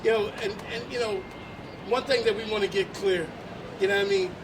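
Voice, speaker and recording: Casual, higher-voiced man emceeing a street rally. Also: fairly quick. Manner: loose and conversational, peppered with "you know what I mean". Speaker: organizer and emcee. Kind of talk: casual introductions at a protest. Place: outdoors on a busy city street. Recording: distant street recording with traffic noise.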